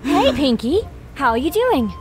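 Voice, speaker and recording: Woman voicing a hesitant, slow-talking cartoon mare. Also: high-pitched, sing-song, voice pushed up. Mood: friendly. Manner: hesitant, uneasy. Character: worried, hesitant young female cartoon pony. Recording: faint music behind the voice.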